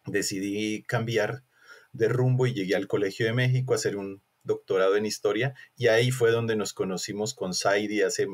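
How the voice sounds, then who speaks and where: A man from Colombia, an academic giving conversational, discursive interview answers and often rephrasing himself. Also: medium pitch. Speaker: academic researcher, interview guest.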